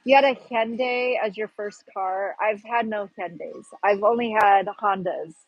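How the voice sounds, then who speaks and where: Breathy woman chatting on a livestream. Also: mid-range voice, measured pace, dry recording, lo-fi sound, soft background music. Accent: Pacific Northwest American. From Pacific Northwest, United States. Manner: rambling, chatty, searching for words. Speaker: livestream host. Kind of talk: casual livestream chat.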